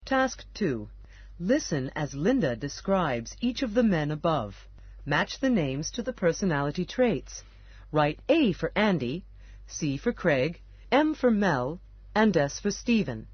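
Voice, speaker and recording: A woman reading listening-task instructions in a clear, measured way. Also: lowish voice, no room sound. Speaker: instruction narrator. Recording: dull lo-fi audio.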